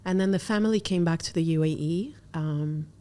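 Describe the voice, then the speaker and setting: Low-voiced woman in reflective interview. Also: a little fast, dark tone. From born in Algeria, grew up in the Middle East. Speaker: interview guest, entrepreneur. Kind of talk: casual reflective conversation.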